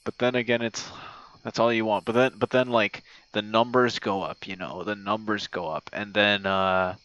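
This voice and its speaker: A man's voice: lowish voice, steady tone, moderate pace, fairly bright tone. A man rambling casually in an informal, slangy way with some swearing, like a loose monologue. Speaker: audio roleplay creator. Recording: dull lo-fi recording. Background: chirping crickets, night sounds.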